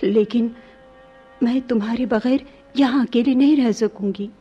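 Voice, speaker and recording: An actress playing a refined young princess in a radio play, tender and devoted, with a languid courtly manner. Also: female voice, fairly high pitch, unhurried, breathy edge, lo-fi sound. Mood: tender, concerned. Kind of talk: Hindi-Urdu radio play acting.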